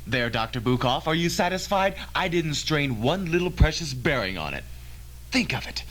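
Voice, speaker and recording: A man plays an arrogant young physicist in a radio play. His voice is fairly high, and he pushes each phrase with curt, impatient emphasis. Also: big pitch swings, normal speed, a bit bright. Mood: smug. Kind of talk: old-fashioned radio drama acting.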